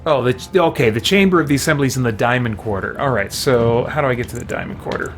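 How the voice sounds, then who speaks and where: A man's voice: mid-range voice, quick pace, soft background music. A man streaming a video game, giving casual commentary and thinking aloud as he goes. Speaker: gaming streamer.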